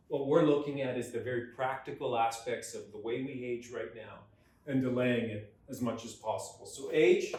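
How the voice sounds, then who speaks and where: A man coaching, explaining a lesson in a conversational way and stressing key words. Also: medium pitch. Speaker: neuroperformance coach. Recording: roomy echo, mic at a distance.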